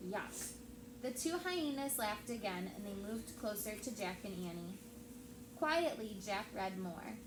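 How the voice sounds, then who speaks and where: A woman teacher reading a story aloud to children in an expressive storytelling style. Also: mid-range voice, a little slow. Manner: expressive, acts out dialogue. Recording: echoey room with steady background noise.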